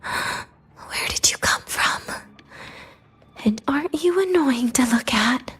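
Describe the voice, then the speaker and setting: A breathy, wistful young game heroine. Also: female voice, high-pitched, animated, slow pace, fairly bright tone, in a whisper, heavily acted. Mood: wary, scornful. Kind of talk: video game character acting.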